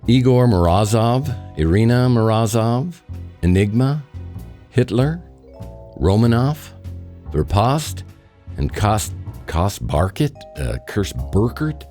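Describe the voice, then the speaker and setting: An actor playing a wisecracking, self-deprecating private detective in a noir radio drama, with a deadpan delivery. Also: male voice, fairly low pitch, slow, faint music behind. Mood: casual, angling.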